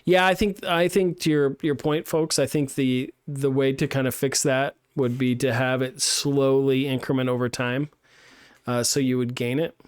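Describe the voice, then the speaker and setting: A man's voice: mid-range voice, steady tone, normal speed, smooth, no echo. A man giving friendly game feedback. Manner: thinks aloud while playing, many fillers and restarts. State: losing his voice. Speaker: game design instructor. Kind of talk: live-streamed playtest feedback.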